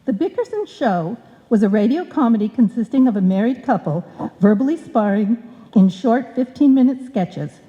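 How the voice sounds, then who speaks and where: A woman's voice: fairly high pitch, mellow. A woman announcer reading scripted narration for a live old-time radio show. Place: indoor stage before a live audience. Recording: dull live recording in reverberant hall.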